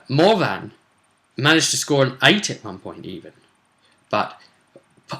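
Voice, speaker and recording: A man's voice: medium pitch, fairly bright tone. A man talking informally as a game master, explaining rules and recapping results with enthusiasm and chatty asides. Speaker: game master recapping a tabletop role-playing session.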